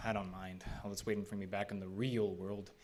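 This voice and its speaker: An actor playing an affable, mildly skeptical park ranger in a live audio drama, easygoing and a little hesitant. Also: male voice, fairly low pitch, flat delivery, fairly quick, a little mellow, slight background noise, close to an everyday voice.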